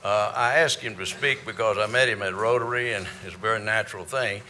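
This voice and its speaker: Older man moderating, low-pitched but bright, halting and rambling, faint hiss. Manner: halting and rambling, with many fillers. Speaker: event moderator introducing speakers.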